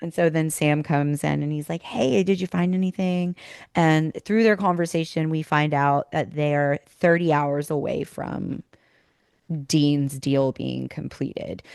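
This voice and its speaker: A woman podcast host with a lowish, smooth voice that is slightly tired from teaching, recorded close and dry. She recaps in a casual, chatty way, sprinkling in filler words as she goes. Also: a little mellow, no echo. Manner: chatty, informal, peppered with like. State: losing voice from teaching. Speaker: school teacher. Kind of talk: casual chat and informal episode recap.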